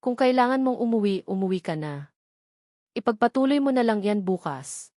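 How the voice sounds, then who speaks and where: A woman narrator voicing a male CEO in an audio drama, curt and authoritative but softening. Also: on the high side, lively intonation, moderate pace, dry recording, studio quality, natural voice. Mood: firm, softening.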